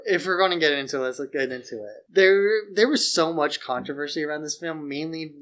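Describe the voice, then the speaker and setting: A man's voice: a little high, brisk, fairly bright tone. A man co-hosting a podcast in a casual film discussion, rambling and opinionated, often saying "like". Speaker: podcast co-host.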